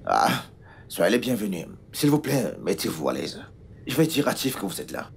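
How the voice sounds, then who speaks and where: A man's voice: on the low side, big pitch swings, normal speed, vocal fry, slight background noise. A man playing a polite house servant who welcomes guests, in a dubbed film. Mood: welcoming.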